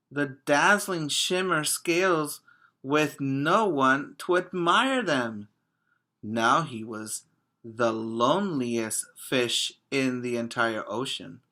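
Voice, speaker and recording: A man, a preschool teacher, reading a picture book aloud expressively and addressing young children directly in simple, deliberate phrases. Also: a little high, sharp tone.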